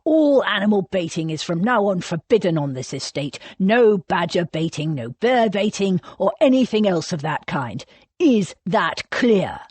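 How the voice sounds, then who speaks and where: An angry aristocratic man for an audiobook, acted out by a woman. The voice is low and rough-edged, with a clipped, snarling menace. He commands and threatens, in a close, dry recording. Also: sing-song, slow pace, a little mellow, dry recording, heavily acted. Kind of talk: audiobook character dialogue.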